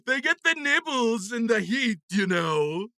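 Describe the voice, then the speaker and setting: A man's voice: extremely high pitch, big pitch swings, a little slow, pushed and bright, no room sound, studio quality, pitched above the performer's own voice, exaggerated voice. A man voicing a theatrical costumed wizard hawker, declaiming pompously in mock-medieval style for an audiobook. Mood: showy salesmanship. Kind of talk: acted audiobook dialogue.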